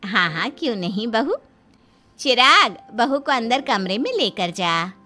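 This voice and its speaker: A woman playing a middle-aged mother-in-law in charge of a big cartoon family, measured and authoritative. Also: a little high, animated, background noise. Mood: matronly. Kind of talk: Hindi cartoon dialogue.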